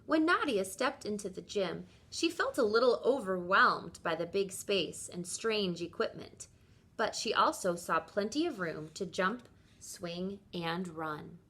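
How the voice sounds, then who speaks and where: Lady teacher reading a picture book to kids, slow n deliberate, bright forward voice, lots of emphasis. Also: mid-range voice, lively intonation. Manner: deliberate, storytelling emphasis for young listeners. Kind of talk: expressive children's picture-book read-aloud.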